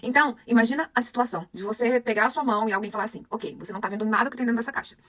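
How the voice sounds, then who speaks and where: Brazilian woman, young adult, language teacher, fast and animated, dry close sound. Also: a little high, no room sound. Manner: animated, rhetorical questions to the audience. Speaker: Spanish teacher. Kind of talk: motivational lesson to followers.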